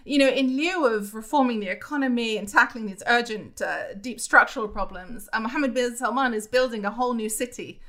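A woman talks through a podcast chat with a bright, forceful edge to her voice. She laughs easily and thinks aloud, filling her pauses with little fillers as she goes. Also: a little high. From born in the US, raised in the Middle East and North Africa. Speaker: podcast guest. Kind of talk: casual conversational interview.

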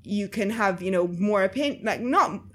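A woman's voice: mid-range voice, animated, normal speed, a bit bright. A woman from Egypt with a slight Egyptian-influenced accent in English, chatting casually as an interview guest, full of fillers and easy laughs. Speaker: guest, writer.

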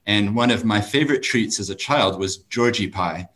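A man's voice: fairly low pitch, moderate pace, fairly bright tone. A man from New Zealand who works as a lawyer, hosting an online panel and asking questions in a friendly way with fillers. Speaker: host and lawyer.

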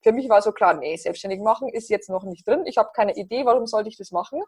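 Husky Bavarian woman, chatty. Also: mid-range voice, lively intonation, quick pace, a little mellow. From Bavaria, near Passau. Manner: chatty, many fillers, upbeat. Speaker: podcast guest. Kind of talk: casual interview chat.

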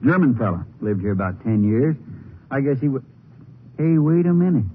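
An actor playing a folksy small-town sheriff with a husky voice and an in a 1950s radio drama. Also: male voice, medium pitch, very animated, normal speed, slight background noise, close to an everyday voice. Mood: puzzled, folksy. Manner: folksy, plain-spoken. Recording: tinny, band-limited 1950s radio recording.

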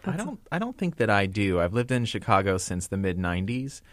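A man from eastern Iowa with a Midwestern accent co-hosts a podcast in a relaxed, easygoing way. His voice sits slightly low in pitch, and the recording is close and dry. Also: a little fast, dry recording. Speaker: podcast co-host. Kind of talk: casual podcast chat.

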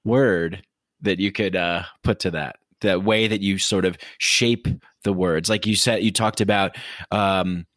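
A man hosting a podcast who asks questions haltingly, searching for words and repeating himself. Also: sits low, fairly even intonation, fairly bright tone, dry recording, clean studio sound. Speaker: podcast host. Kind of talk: casual podcast conversation.

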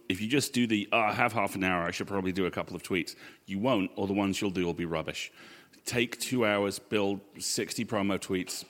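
A man with a low voice answers on a live panel in an echoey hall. He is earnest and measured, often landing his advice in short, punchy sentences. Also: a bit bright. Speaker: panel guest. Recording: echoey hall with long reverb.

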